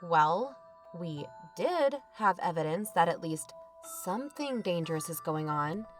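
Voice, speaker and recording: A woman's voice: lowish voice, animated, a little slow, faint music behind. A woman playing a pragmatic investigator who mediates and questions in a scripted podcast drama. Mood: measured, questioning. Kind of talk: scripted audio drama dialogue.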